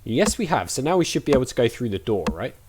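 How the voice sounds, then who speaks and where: A man's voice: medium pitch, very animated, fast talker, background noise. A man giving casual let's play commentary, thinking aloud in a chatty way as he plays.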